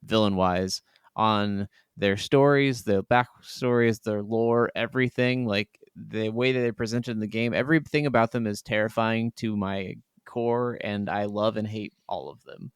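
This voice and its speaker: A man's voice: fairly low pitch, steady tone, measured pace, smooth, no echo, studio quality. A man co-hosting a podcast, chatting casually about video games in an emphatic, rambling, sweary way. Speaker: podcast co-host.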